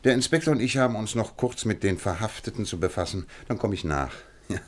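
An actor playing a shrewd, courteous detective in a German radio play, speaking politely and deliberately with an air of command. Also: male voice, lowish voice, expressive. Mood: firm, commanding. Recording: faint background noise.

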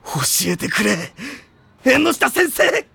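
An actor playing a loud, hot-blooded teenage boy in an anime audio drama, over-dramatic and easily tongue-tied. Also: very high voice, sing-song, takes it slowly, vocal fry, husky, heavily acted. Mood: grave, awed. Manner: over-dramatic, stammering when nervous.

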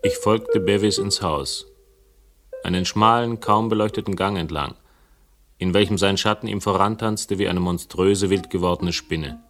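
A man playing a brooding investigator who narrates a radio crime drama in an atmospheric, deliberate, literary manner. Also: low-pitched, a bit bright, soft background music. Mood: ominous. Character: brooding male investigator narrating his noir adventure. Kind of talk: scripted first-person radio crime narration.

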